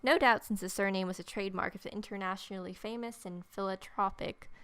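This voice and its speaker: A woman's voice: medium pitch, steady tone, fast talker, a bit bright, clear, resonant tone, dry recording. A young woman reading visual novel narration aloud, dropping in casual asides as she plays. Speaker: game player reading aloud. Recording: music playing behind.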